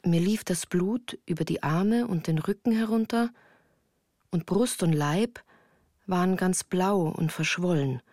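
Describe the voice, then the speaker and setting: A woman's voice: fairly low pitch, measured pace, a little mellow, no echo, studio quality. An actress as a woman recounting her childhood in composed, literary first-person narration for a radio drama. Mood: grave, reflective. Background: music.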